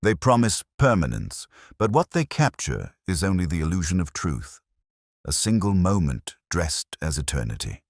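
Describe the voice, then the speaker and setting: An older man reading a literary first-person detective story in a measured, reflective and solemn manner. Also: low-pitched, very animated, dry recording, studio quality. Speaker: narrator.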